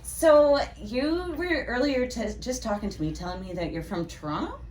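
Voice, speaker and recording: A woman hosting an interview show, chatty and animated and quick to laugh. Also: a little high, distant mic, poor audio. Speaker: show host. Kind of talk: casual interview chat.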